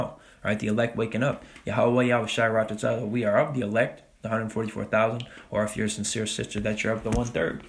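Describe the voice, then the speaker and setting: A man's voice: mid-range voice, a bit bright. Man preaching casually from scripture. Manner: casual preaching with frequent "man" and "all right?"; reads scripture slowly and deliberately. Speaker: religious teacher. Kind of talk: Bible study sermon.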